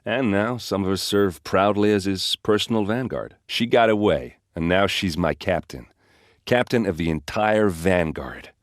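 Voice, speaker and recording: Gruff warrior, video game character, hard-drinking, friendly, boastful, wry, dry studio sound. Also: male voice, low-pitched, measured pace, no echo. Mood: conversational, proud. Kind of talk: game dialogue and combat barks.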